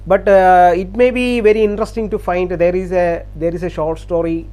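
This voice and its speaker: Halting man, high-pitched voice. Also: fairly even intonation, mellow. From Kerala, India. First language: Malayalam. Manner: halting, repeats words, frequent uh fillers. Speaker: channel host. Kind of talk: casual talk to camera.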